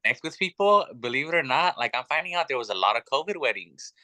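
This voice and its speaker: A man's voice: on the high side, very animated, quick pace, pushed and bright, no room sound, low-quality recording. A man in his thirties, a guest telling his family story in an earnest, chatty way with many fillers. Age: 30s. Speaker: podcast guest. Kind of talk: casual chat.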